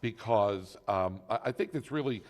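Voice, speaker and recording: A man's voice: lowish voice, normal speed. A man hosting an interview, asking questions in a conversational way with many fillers. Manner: conversational, lots of filler and affirmations. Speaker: talk show host.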